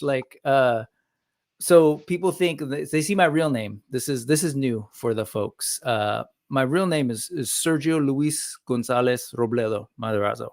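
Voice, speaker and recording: A man in his forties hosting a casual chat, talking in a relaxed, rambling way with many fillers. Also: mid-range voice, no echo, clean studio sound. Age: 40s. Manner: relaxed, chatty, lots of fillers and restarts. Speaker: host. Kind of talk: casual chat on a live stream.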